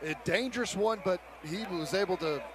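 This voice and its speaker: A man's voice: high voice, moderate pace, a crowd in the background. A man with an American accent giving conversational color commentary on a live football radio broadcast. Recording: radio broadcast with clear stadium noise.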